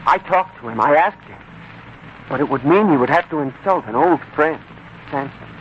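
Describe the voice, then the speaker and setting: An actor playing an earnest, anxious young bridegroom and humble employee, deferential and hesitant, in a 1940s radio drama. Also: male voice, on the high side, animated, moderate pace, natural voice. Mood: pleading, distressed. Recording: muffled, faintly noisy 1940s radio broadcast.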